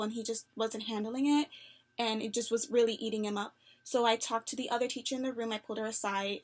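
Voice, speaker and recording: A young woman with a fairly high voice answers interview questions over the phone. She sounds earnest and upbeat, fills her answers with "like" and "um", and laughs softly between thoughts. She comes across as sincere and eager to make a good impression. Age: young adult. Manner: earnest, upbeat, frequent "like" fillers and soft laughs. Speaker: job applicant.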